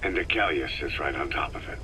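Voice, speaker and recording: A gruff soldier, with an extremely deep, gravelly voice. He is terse and guarded, speaking in short questions in a video game scene, on a clearly noisy recording. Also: a little fast, sharp tone. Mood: guarded, questioning. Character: gruff, terse wounded soldier just back from long coma.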